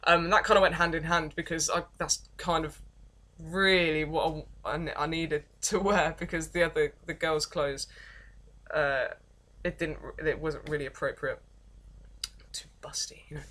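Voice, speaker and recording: A young woman with an English accent and a deep voice, telling her life story in a rambling, self-deprecating vlog with many false starts. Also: fairly bright tone. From England. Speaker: vlogger. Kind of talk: casual confessional vlog, reading from notes.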